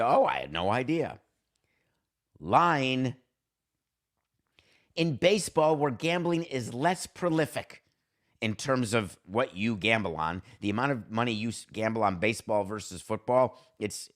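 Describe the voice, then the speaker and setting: A man delivers solo sports commentary as a podcast host. His voice sits at an average male pitch, and he argues his points emphatically, with a sardonic edge and rhetorical flourishes. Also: fairly bright tone.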